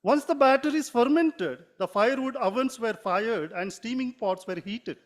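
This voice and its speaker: High-voiced Indian man giving formal speech. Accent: Indian English. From Mangalore, India. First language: Konkani. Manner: measured, formal reading with occasional self-corrections. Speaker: writer giving a talk.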